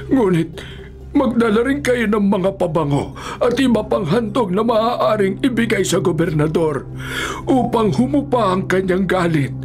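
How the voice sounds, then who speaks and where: A man playing an elderly Filipino father, a weary, solemn and deliberate patriarch, in a radio drama. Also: high voice, expressive, darkish tone. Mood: grave, resigned.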